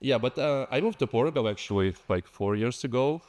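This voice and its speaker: A man's voice: medium pitch, animated, fairly quick, a little mellow. A man with a Belarusian accent giving an informal, chatty conference talk, joking and engaging his audience. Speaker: conference lightning talk speaker. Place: conference hall stage. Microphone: stage microphone. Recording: long hall reverb on stage sound.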